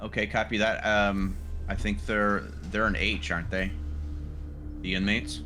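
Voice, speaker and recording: A man's voice: fairly low pitch, level tone, unhurried, pushed and bright, soft background music. A man playing a tabletop roleplaying game, talking casually with the group.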